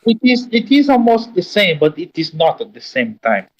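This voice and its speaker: A man's voice: sits high, big pitch swings, dull audio. Brazilian man explaining earnestly in English. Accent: Brazilian Portuguese. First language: Portuguese. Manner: earnest, halting, explaining carefully. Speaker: moderator on a voice call.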